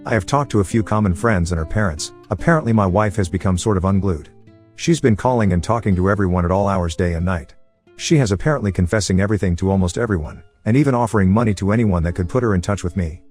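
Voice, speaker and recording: A man narrating a forum post in the first person as a scripted story, with a brisk, steady reading manner. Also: deep voice, faint music behind.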